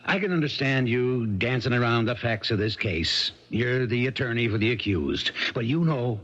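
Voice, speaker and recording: An actor playing a folksy, testy small-town police sheriff in a 1970s radio drama, plain-spoken and emphatic. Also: male voice, mid-range voice, animated, normal speed, fairly bright tone, close to an everyday voice. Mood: testy, insistent. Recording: muffled 1970s radio broadcast.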